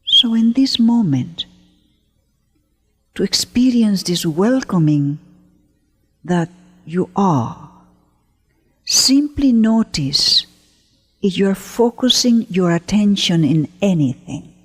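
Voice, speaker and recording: Slow, breathy woman guiding meditation. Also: mid-range voice, lively intonation. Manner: gentle, unhurried, with long pauses between short phrases. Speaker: meditation teacher. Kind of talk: guided meditation, spoken slowly to listeners. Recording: long hall-like reverb.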